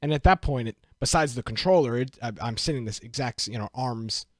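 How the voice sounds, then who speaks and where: A man's voice: medium pitch, a little fast, no room sound, studio quality. A man co-hosting a casual gaming podcast, joking and teasing his co-host in easy banter.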